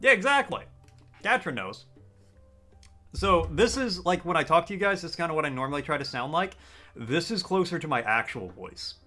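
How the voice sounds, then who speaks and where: A man live-streaming a game, chatting casually with his viewers in a deliberately raised, customer-service-style register. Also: fairly high pitch, animated, bright, forceful tone, soft background music. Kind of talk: casual live-stream chat while gaming.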